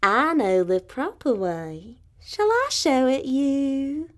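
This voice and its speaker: A woman voicing a sly, smooth-talking male fox in a fairy tale, luring prey with slow, deliberate, coaxing speech. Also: high-pitched, big pitch swings, pitched above the performer's own voice, full character voice.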